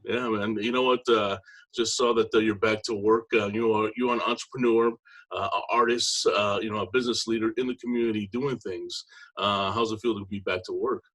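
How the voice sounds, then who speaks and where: Low-voiced host, halting with fillers. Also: male voice, steady tone, fairly bright tone, slightly rough, rough recording. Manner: halting, heavy "uh" fillers, friendly "man". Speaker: interview host. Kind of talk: casual interview.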